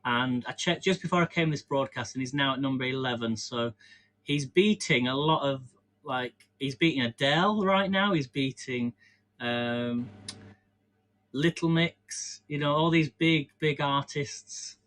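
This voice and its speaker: A man's voice: mid-range voice, measured pace, fairly bright tone. An English man hosting a casual live stream from his home kitchen, chatting and running a quiz while he bakes, rambling and self-deprecating with frequent laughs. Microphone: camera on a stand.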